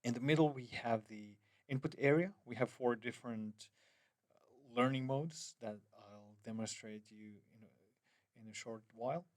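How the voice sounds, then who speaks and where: Man, mid-pitched, hesitant app walkthrough, dry booth-like sound. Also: moderate pace, darkish tone, dry recording. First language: Finnish. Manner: hesitant, many fillers and restarts, loose phrasing. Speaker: app developer. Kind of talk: screen-recorded app demo.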